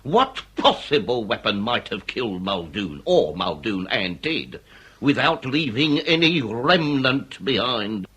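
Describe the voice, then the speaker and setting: An actor playing a pompous, irascible Victorian police inspector with a British accent, speaking in florid, blustering, orotund phrases for a comic period radio drama. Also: male voice, medium pitch, sing-song, takes it slowly, a bit bright, husky, exaggerated voice. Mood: probing.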